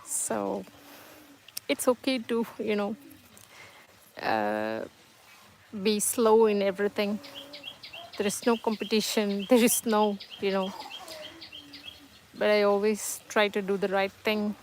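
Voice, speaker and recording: A woman's voice: mid-range voice, lively intonation, slow, pauses often, slight background noise. A woman whose first language is Tamil hosts a casual livestream at home, reading viewers' comments and chatting in a hesitant, reassuring way with many fillers and restarts. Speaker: livestream host. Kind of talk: casual live-chat monologue, reading comments.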